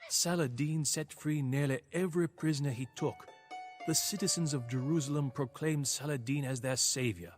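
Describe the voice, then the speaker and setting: Guy as weary old-war crusader knight narrating a game campaign, solemn n measured, music behind him. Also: fairly high pitch, lightly acted. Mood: somber. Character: captured crusader knight, weary reflective chronicler in Saracen service. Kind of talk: scripted narration. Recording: game cutscene with music underneath.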